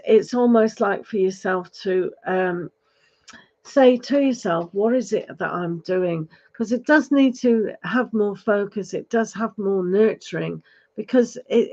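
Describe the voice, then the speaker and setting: A woman giving a live tarot reading, advising gently with many fillers, her voice going hoarse. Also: mid-range voice, highs cut off. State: losing her voice, clearing throat. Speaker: tarot reader.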